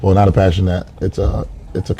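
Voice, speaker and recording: Forties guy from queens, low flat voice, lots of ums, noisy restaurant behind him. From Queens, New York. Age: 40s. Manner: hesitant, many filler pauses. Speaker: dater on a blind date. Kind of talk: casual dinner conversation. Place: restaurant dinner table.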